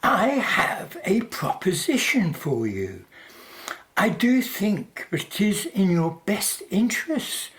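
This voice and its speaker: A woman's voice: mid-range voice, very animated, takes it slowly. A woman playing a suave, calculating male company owner in a radio play, polite and formally persuasive. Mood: polite, insistent. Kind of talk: radio drama acting.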